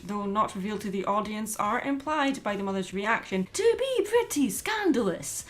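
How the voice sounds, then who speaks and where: A woman narrating a scripted film review as host, with an expressive, opinionated delivery. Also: mid-range voice. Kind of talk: scripted video essay narration. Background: background music under voice-over.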